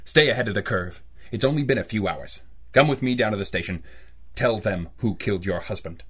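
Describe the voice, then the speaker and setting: Male actor as noir private detective, lowish voice, cynical, stern, clipped radio drama delivery. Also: brisk, a bit bright, husky. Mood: firm, steady. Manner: clipped, wry, accusing film-noir delivery. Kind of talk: old-time radio detective drama acting.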